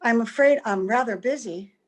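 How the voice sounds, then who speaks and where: Woman acting a high-voiced, wistful gentlewoman. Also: normal speed, close to an everyday voice. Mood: coolly polite. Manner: earnest, gentle, melodramatic. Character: wistful, secretive mother posing as a young visitor. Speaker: actor in an amateur radio play. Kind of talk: old-time radio drama acting. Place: at home, recorded over video call.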